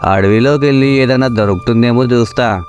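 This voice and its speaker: A man's voice: medium pitch, a little fast, darkish tone, faint music behind, close to an everyday voice. Man playing a cheeky, lazy young village loafer. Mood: exasperated, clowning. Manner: flippant, mock-dramatic wisecracking. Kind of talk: comic Telugu moral-story voice acting.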